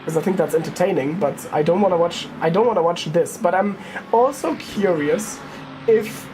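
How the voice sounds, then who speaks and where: A woman's voice: lowish voice, moderate pace, over music, low-quality recording. A woman giving casual live commentary on a sim race, thinking aloud and correcting herself as she goes. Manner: informal, thinking aloud, hesitant self-correcting.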